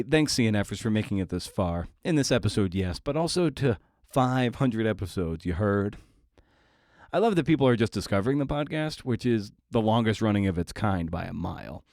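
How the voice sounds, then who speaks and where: A man's voice: lowish voice, a little mellow, dry recording, studio quality. A man hosting a podcast, talking casually in an informal, slangy and effusive way. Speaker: podcast host and interviewer. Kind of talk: casual podcast chat and monologue.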